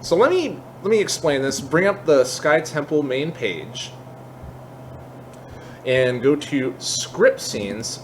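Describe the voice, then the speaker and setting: A man with an average-pitched voice hosts a screen-share tutorial. He explains things informally, piece by piece, often thinking aloud. A noticeable bed of background noise sits under the recording. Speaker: tutorial host. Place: indoors at a computer, voice-over for screen capture.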